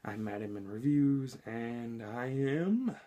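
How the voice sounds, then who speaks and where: A man with a hoarse, slightly scratchy voice from being sick, chatting informally through a movie review with frequent ums and self-corrections. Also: mid-range voice, expressive, takes it slowly, mellow. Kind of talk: casual spoken movie review.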